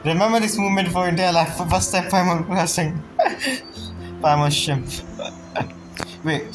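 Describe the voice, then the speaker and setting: A woman's voice: on the low side, slow, low-quality recording. A woman reacting casually while playing a game, slangy, with short interjections and swearing. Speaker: game streamer. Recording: faint game music behind.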